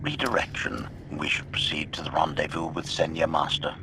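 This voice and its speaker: An actor playing a deadpan, coolly threatening assassin droid, formal and robotic, in a video game. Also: male voice, a bass voice, background noise. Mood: businesslike. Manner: clipped one-word announcements, slow and formal. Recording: music behind the voice.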